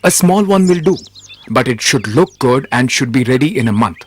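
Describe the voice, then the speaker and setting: A man acting a wise, steadfast royal minister in a respectful, earnest manner for an animated moral tale. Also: mid-range voice, normal speed, slight background noise, lightly acted. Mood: brisk, instructing.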